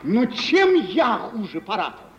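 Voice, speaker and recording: Very high-pitched, insecure jealous fiancé. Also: male voice, huge pitch swings, heavily acted. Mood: insistent, wounded. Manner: agitated, halting, repeats words when pressing. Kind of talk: 1950s Russian radio theatre acting. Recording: muffled, hissy mid-century radio recording.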